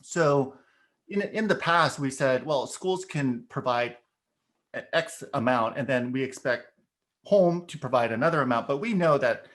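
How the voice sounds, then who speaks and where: A man's voice: mid-range voice, measured pace, fairly bright tone. A middle-aged man whose first language is Korean, explaining ideas in a casual discussion and checking in with "right?" as he goes. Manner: thoughtful, explains with examples, frequent "right?". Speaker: education podcast guest.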